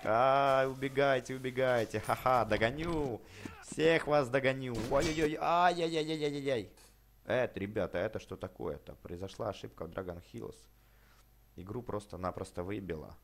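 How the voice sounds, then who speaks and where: A man hosting a casual, chatty let's play for children, excitable, full of exclamations, repeated words and affectionate diminutives. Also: mid-range voice, moderate pace. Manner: uses many affectionate diminutives. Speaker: gaming YouTuber host.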